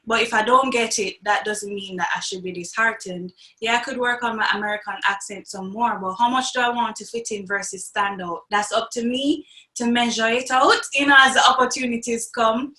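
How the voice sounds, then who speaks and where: A bright, fairly high voice from a young woman with a Jamaican accent. She talks animatedly and laughs often. The recording has a roomy echo. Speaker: actress, guest. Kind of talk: interview conversation. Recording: echoey room.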